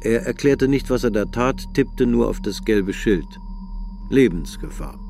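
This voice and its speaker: A man narrating a literary radio drama in a calm, measured, subdued reading. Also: fairly low pitch, gravelly, faint music behind.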